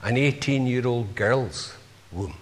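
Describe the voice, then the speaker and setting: A man's voice: medium pitch, slow, in a large hall. A man preaching a sermon, earnestly putting rhetorical questions to his congregation.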